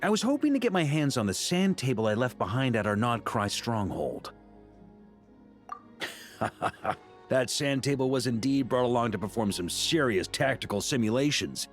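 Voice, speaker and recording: An actor playing a talkative, good-humored middle-aged knight grandmaster in scripted video game dialogue, with a theatrical way of chatting. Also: male voice, mid-range voice, big pitch swings, normal speed, faint music behind, close to an everyday voice. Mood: chatty, enthusiastic.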